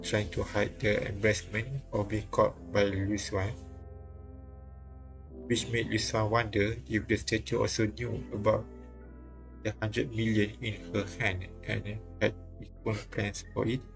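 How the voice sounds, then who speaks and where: A man reading visual novel dialogue and narration aloud on a live stream, halting and repeating lines as he goes. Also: on the low side, flat delivery, creaky. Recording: music playing behind the voice. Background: game music.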